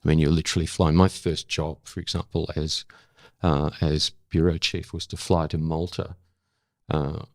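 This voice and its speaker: An older man, telling stories in conversation in a thoughtful, halting way that often corrects itself. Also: very deep voice, flat delivery, darkish tone, dry recording, clean studio sound. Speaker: interview guest, former journalist and actor. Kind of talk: reflective conversational storytelling.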